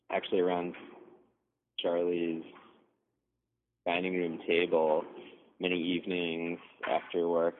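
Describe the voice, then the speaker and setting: Young man, from coastal maine, very deep monotone voice, rambling thoughtful answers, tinny phone line, faint hiss. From coastal Maine, USA. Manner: thoughtful, rambling, many restarts. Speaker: guest designer. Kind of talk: casual interview answers.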